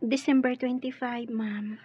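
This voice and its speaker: A woman's voice: fairly high pitch, fairly even intonation, unhurried, a little mellow, slightly rough, no room sound, lo-fi sound, soft background music. A woman with an Ilocano accent acting a sweet, polite young housemaid in a radio drama. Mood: polite, puzzled.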